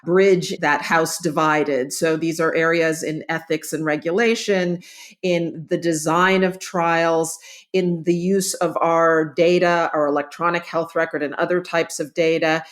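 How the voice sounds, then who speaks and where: A woman hosting a professional interview, speaking in a measured, articulate way as she sums up and frames questions. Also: low-pitched, steady tone. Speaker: interviewer, journal editor host.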